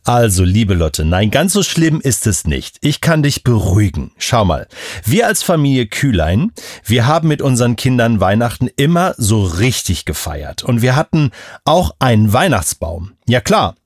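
Husky, very animated man. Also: medium pitch, normal speed, dry recording, clean studio sound. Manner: emphatic, reassuring, repeats key words. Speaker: expert guest explaining to a child. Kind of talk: children's audio interview, storytelling explanation.

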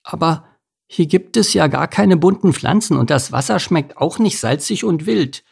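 A man's voice: a little high, lively intonation, moderate pace, creaky, darkish tone, breathy edge, voice pushed up, exaggerated voice. A man voicing a small, naive young salmon in a storybook reading, eager and wide-eyed.

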